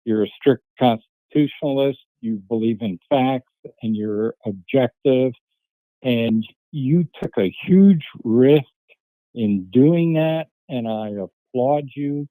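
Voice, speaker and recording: An older retired man calling in to a phone meeting, earnest and deliberate. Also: mid-range voice, no echo, narrow, tinny audio. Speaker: caller.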